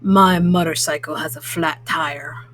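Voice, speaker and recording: A woman voicing a grumpy male toad in a children's storybook, gruff and grumbling. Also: low-pitched, fairly even intonation, a little slow, a bit bright, pitched below the performer's own voice.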